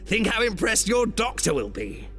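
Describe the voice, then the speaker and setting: Coaxing pantomime schemer, patronising, swooping intonation, slightly high voice, audio drama, music underneath. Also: male voice, sing-song, moderate pace, a bit bright, full character voice.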